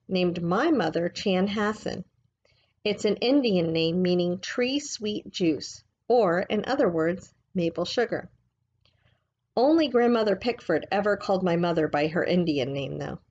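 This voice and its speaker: A woman reading a book aloud like a teacher, in a gentle, measured, explanatory way. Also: on the low side, expressive, dull audio, slight background noise.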